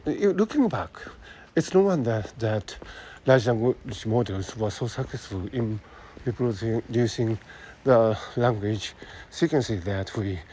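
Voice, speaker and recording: A man with a Japanese accent thinking aloud in a halting monologue while walking city streets, speaking into a phone, full of fillers and restarts. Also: mid-range voice, darkish tone, husky. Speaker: podcast host. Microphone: phone held close.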